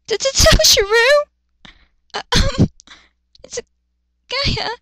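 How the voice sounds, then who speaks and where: A woman's voice: very slow, bright, forceful tone, dry recording, heavily acted. A woman voicing a shy, flustered, stammering young woman in an anime fan fiction reading. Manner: stammering, squeaky.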